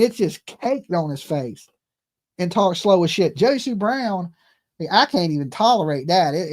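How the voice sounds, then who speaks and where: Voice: mid-range voice, darkish tone. A live call-in stream host of ambiguous sex, chatting casually in crude, mocking, profane slang and often tagging sentences with "right?" or "okay". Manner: peppers talk with "right?" and "okay".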